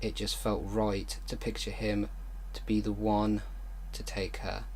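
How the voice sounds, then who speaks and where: A man hosting an online video, reading fan fiction aloud haltingly with exasperated, disbelieving commentary. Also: fairly low pitch, little pitch movement, slight background noise, low-quality recording.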